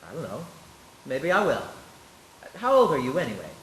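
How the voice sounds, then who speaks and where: Guy as eager young small town dreamer, folksy old radio play style, bright lively voice, echoey. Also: a little high, moderate pace. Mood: boyish, eager. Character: eager, restless young small-town man, boyishly earnest. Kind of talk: live 1940s-style radio play acting. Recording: echoey stage, background noise.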